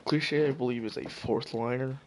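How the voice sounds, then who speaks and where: A man giving casual, profane solo commentary while slowly losing his voice, stumbling over names as he goes. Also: mid-range voice, steady tone, a little hiss or hum. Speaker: hockey video commentator.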